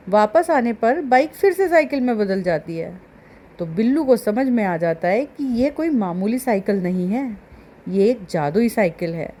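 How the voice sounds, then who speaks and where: A woman's voice: medium pitch, warm tone. A woman narrating a story for children as a storyteller.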